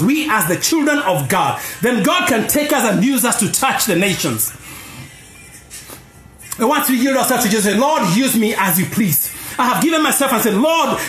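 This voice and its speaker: A man preaching a sermon with passion, often shouting as his voice climbs. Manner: impassioned, often shouting and rising in pitch. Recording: roomy echo with faint music behind.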